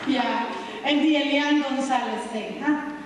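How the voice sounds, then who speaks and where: A woman's voice: high-pitched, steady tone, measured pace, background noise. Nicaraguan woman doing stand-up in an echoing hall. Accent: Nicaraguan Spanish. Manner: brash, teasing, confessional punchlines. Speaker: stand-up comedian. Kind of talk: stand-up comedy set. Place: large hall with live audience. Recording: distant, echoey home VHS tape of a live hall.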